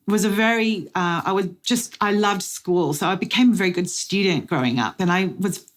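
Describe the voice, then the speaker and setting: A middle-aged woman giving a testimony sermon as guest speaker, slow, deliberate and earnest, with dramatic pauses. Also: medium pitch. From Australia.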